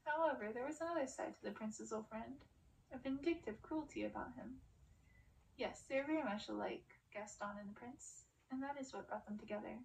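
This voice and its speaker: A woman's voice: fairly high pitch, brisk. A woman reading a fairy-tale novel aloud in audiobook style. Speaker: reader of a story. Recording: distant mic with clear background noise.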